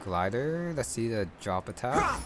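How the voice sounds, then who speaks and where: Man, casual gameplay commentary, mid-pitched, unhurried, short musing fragments, loud music underneath. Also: huge pitch swings. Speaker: gameplay streamer. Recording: loud game music behind. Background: loud game music.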